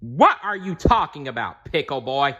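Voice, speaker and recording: A man's voice: mid-range voice, sing-song, normal speed, sharp tone, husky, muffled recording, voice pushed up, heavily acted. Guy doing a cocky mad scientist bad guy from a kids book, braggy n snarly, big swoopy delivery. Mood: scornful. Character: boastful mad doctor villain, male, swollen with pride. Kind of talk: read-aloud storybook character.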